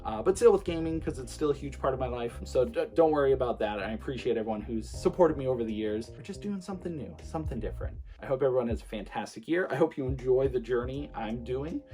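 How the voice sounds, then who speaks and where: A young man of average pitch chats loosely to his viewers, rambling through plans with lots of fillers and false starts. Faint music plays quietly under his voice. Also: quick pace, few pauses. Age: 20s. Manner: relaxed, rambling, many fillers and restarts. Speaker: streamer and vlogger. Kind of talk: casual talk to camera.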